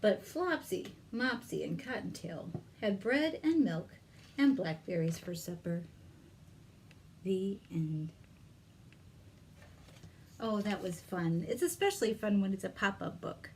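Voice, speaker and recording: A woman with a mid-pitched voice reads a children's story in an expressive storytime style. She slips into chatty asides for her young listeners, some of them whispered. There is light noise in the recording. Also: takes it slowly, long pauses. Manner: asks young listeners simple questions. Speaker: children's librarian leading storytime. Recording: noticeable background noise.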